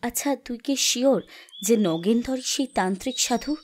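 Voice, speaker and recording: A woman's voice: fairly high pitch, moderate pace, a little mellow, natural voice. A woman playing an anxious, suspicious young friend, questioning and confiding in an audio horror story. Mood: uneasy. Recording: music under the voice.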